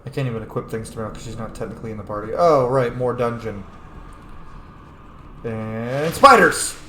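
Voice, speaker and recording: A man's voice: mid-range voice, normal speed, bright, forceful tone, echoey room, slight background noise. A man giving casual, sweary let's play commentary as a gaming host, informal and full of wisecracks. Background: game music.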